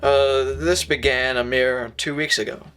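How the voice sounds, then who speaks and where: Guy acting as moody self-pitying poet narrating a noir film, sharp bright voice, melodramatic confession, music behind. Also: mid-range voice, fairly even intonation, unhurried. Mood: reminiscing. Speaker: narrating lead character. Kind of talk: noir film voice-over monologue.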